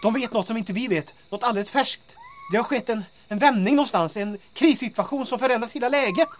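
Kvinna som spelar en skrytsam, högröstad ung sergeant. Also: female voice, fairly high pitch, slight background noise, lightly acted. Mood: alarmed, urgent. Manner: bragging, mock-military phrasing. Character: boastful, jokey young woman sergeant and motorcycle mechanic. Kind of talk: audio drama acting.

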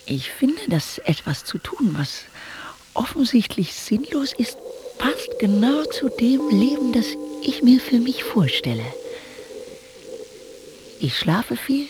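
An actress playing a detached, aimless woman in her thirties, musing with deadpan wryness in a radio drama. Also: on the high side, slow pace. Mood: detached, wry. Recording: clear ambient background noise.

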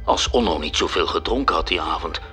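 A man's voice: sits low, lively intonation, brisk, pushed and bright. A man playing a questioning, puzzled celestial cherub in a radio drama, speaking formally. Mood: puzzled, inquiring. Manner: formal, inquiring. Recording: music behind the voice.

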